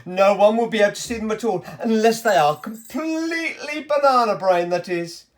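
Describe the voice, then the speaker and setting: A woman's voice: medium pitch, normal speed, voice pushed up, close to an everyday voice. Woman playing a sly, swooping storybook swindler tailor. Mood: sly persuasion. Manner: grandiose, flattering, salesmanlike. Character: two sly, fawning foreign male tailors, swindling salesmen.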